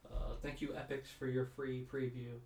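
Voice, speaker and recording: A man's voice: medium pitch, little pitch movement, moderate pace, vocal fry, a little mellow, slight background noise. Man, podcast co-host, casual rambling chat, cynical, echoey room, distant mic. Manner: conversational, rambling, cynical asides. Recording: roomy sound, mic at a distance.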